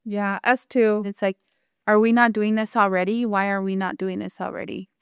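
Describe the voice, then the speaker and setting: A woman's voice: medium pitch, little pitch movement, normal speed, clean tone, no room sound, clean studio sound. A woman whose first language is Spanish, speaking candidly and outspokenly on a panel. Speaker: panel guest, voter organizer. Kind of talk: conversational panel discussion.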